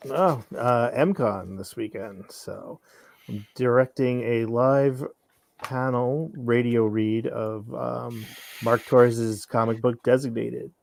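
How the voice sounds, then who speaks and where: A man's voice: medium pitch, steady tone, slow pace, gravelly, a little mellow. A man talking casually as a guest on a chat show. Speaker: guest, radio play director.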